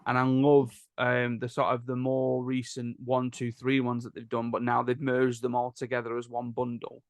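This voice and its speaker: A man's voice: mid-range voice, completely flat delivery, moderate pace, no room sound. A man hosting a casual podcast chat and sharing his opinions freely. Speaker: podcast host.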